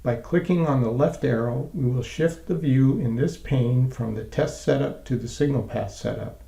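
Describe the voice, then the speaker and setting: A man narrating a scripted software tutorial in a measured, methodical, step-by-step way. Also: mid-range voice, vocal fry, echoey room.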